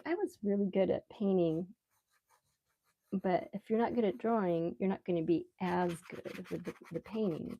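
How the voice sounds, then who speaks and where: A woman with a relaxed voice chats casually on a live stream as she draws, rambling unhurriedly and thinking aloud. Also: on the low side, gravelly. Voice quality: relaxed, soft-edged voice. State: relaxed, mildly sedated after anesthetic. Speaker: live stream artist host. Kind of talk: casual live stream chat while drawing.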